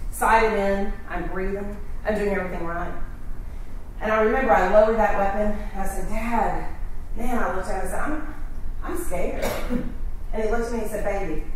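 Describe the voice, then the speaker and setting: A woman's voice: mid-range voice, a little hiss or hum. Folksy woman giving an echoey keynote. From Texas. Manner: folksy, self-deprecating, chatty with the audience. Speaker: keynote speaker, city manager. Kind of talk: storytelling keynote speech. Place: hotel conference ballroom. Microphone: handheld mic, far camera. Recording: echoey room from far off.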